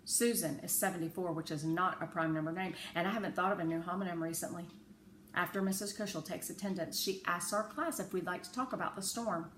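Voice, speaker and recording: A woman narrating a children's story aloud in a measured, clearly phrased way. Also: fairly low pitch, a bit bright. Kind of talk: children's audiobook-style storytelling. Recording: roomy echo with faint background noise.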